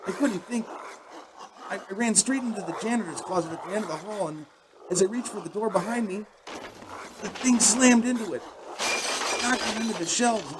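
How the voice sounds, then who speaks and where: Man acting scared young male worker, earnest, shaken, higher-pitched voice, radio play, some background noise. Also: normal speed, pauses often, natural voice. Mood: terrified. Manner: shaken, hesitant, earnest storytelling.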